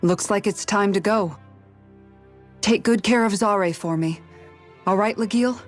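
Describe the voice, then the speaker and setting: A woman's voice: medium pitch, soft background music, natural voice. Actress as an earnest, resolute young woman warrior. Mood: firm, composed. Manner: measured, formal, sincere. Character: earnest, resolute young woman guard turned freedom fighter. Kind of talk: dramatic video game cutscene acting.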